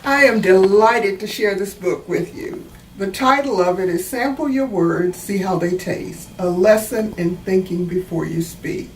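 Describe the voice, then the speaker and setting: A woman reading a children's book aloud and explaining it in a deliberate, teacherly way. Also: medium pitch, a little mellow. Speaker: author reading own book. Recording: roomy, distant mic, faint background noise.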